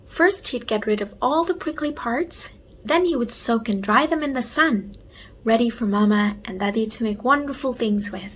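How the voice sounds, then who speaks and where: A woman voicing a caring, protective young girl in a children's storybook, earnest and expressive. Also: on the high side, normal speed, a little hiss or hum, close to an everyday voice. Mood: proud.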